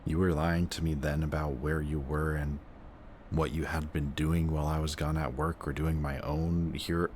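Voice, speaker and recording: A man playing a wounded, protective antihero who insists he is a villain, in an emotional, intimate audio roleplay with a halting delivery. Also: very deep voice, level tone, a little mellow, a little hiss or hum. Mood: hurt, reproachful. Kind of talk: emotional audio roleplay acting, addressing the listener.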